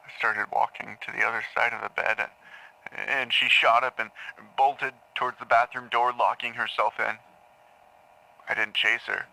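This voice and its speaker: An actor playing a haunted man in an audio drama, confessing in halting, repetitive phrases to a grim crime. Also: mid-range voice, flat delivery, gravelly, sharp tone, low-quality recording. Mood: numb, grim. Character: haunted man confessing to killing his parents in therapy. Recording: taped therapy session sound.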